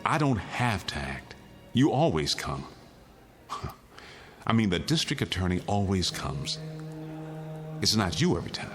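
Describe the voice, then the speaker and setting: A man's voice: low-pitched, big pitch swings, a little slow, long pauses, faint music behind. An actor playing a weary, insistent condemned man pleading his case in a radio drama. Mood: weary, resigned. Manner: insistent, pleading, arguing in rhetorical questions. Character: condemned male prisoner insisting the world is his nightmare, weary, urgent.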